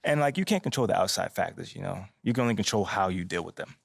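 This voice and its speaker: A man's voice: low-pitched, animated, fast, gravelly, husky, dry recording, clean studio sound, exaggerated voice. A man playing an easygoing young American basketball player in a video game, chatting casually and politely. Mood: relaxed, confident. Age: young adult. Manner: laid-back, friendly, informal slangy talk. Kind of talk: casual conversational video game cutscene acting.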